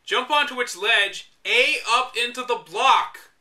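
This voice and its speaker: Young male reader with a high, bright voice; sarcastic commentary between passages. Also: unhurried. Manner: wry, exasperated asides. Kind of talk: reading a book aloud with sarcastic commentary.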